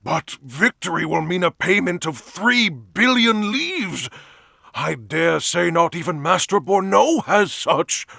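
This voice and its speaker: High, creaky, fretful attendant. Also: male voice, animated, takes it slowly, sharp tone, no room sound, clean studio sound, heavily acted. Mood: dismayed. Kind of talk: video game voice acting.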